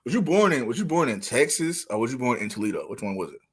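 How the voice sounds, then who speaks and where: A man hosting a casual podcast interview, speaking in informal slang with halting restarts. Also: medium pitch, sing-song, breathy edge. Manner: informal slang, halting restarts, "you know what I'm saying". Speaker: podcast host.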